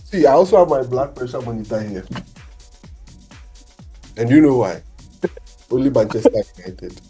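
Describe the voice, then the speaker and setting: A man hosting a casual football chat show, excitable and animated, quick to laugh. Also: mid-range voice, soft background music, rough recording. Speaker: podcast host.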